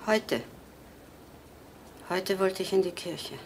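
An actress playing an evasive, subdued housewife in a radio crime drama. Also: female voice, on the low side, slow pace, gravelly. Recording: noisy old radio drama.

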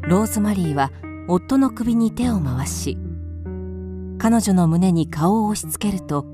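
A woman's voice: medium pitch, animated, unhurried, over music. A woman reading scripted narration for a radio drama in a composed storytelling manner.

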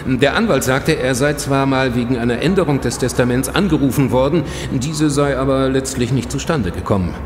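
A man's voice: mid-range voice, fairly quick, few pauses, close to an everyday voice. A man playing a self-assured, wry police investigator who argues articulately in a German crime audio drama. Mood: informative, dry. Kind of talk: German radio drama dialogue. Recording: echoey hall, clear background noise.

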